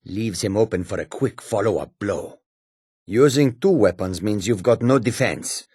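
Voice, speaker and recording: An actor playing a shrewd, proud cat-folk warrior and trader in a fantasy video game, with a husky, rasping, theatrical delivery. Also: male voice, on the low side, expressive, normal speed, mellow, dry recording, studio quality. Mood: instructive, firm.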